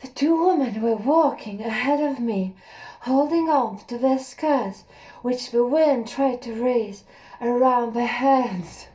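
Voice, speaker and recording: A young woman reading a literary story aloud in a slow, hushed, measured way, with an occasional slip. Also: on the high side, poor audio. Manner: slow, hushed and measured, with a few slips and laughs. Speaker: audiobook reader.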